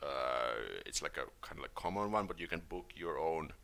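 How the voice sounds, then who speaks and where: A man with a Finnish accent hosting a relaxed live stream and answering questions from the chat. His voice sits low but has a bright, forward edge. He talks loosely and hesitantly, restarting sentences and filling pauses with "like" and "uh". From Finland.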